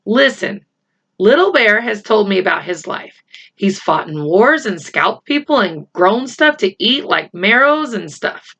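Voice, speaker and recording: A woman voicing an earnest, cautious young boy who explains insistently and haltingly, in a children's storybook reading. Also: medium pitch, sing-song, a bit bright, full character voice. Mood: earnest, explaining.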